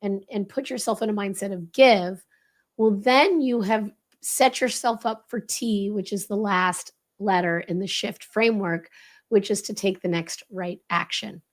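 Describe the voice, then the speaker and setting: A woman's voice: mid-range voice, unhurried. Woman explaining, with a slightly crackly voice. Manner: emphatic, instructive, poses rhetorical questions. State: slightly crackly, strained voice from voice loss. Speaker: leadership expert guest explaining a framework. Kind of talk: explanatory interview talk.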